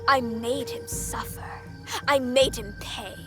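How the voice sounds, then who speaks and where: A woman's voice: high voice, wildly swooping pitch, slow, exaggerated voice. A woman playing a vengeful young pirate's daughter in a game set in feudal Japan, grave and intense. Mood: bitter confession. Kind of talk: video game cutscene acting. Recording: music behind the voice.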